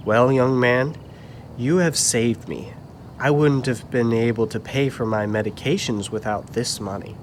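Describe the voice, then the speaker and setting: Man playing a kindly old puppet gentleman, noisy background. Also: medium pitch, a little slow, lightly acted. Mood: grateful. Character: kindly, grateful old man in his workshop. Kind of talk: church puppet show dialogue.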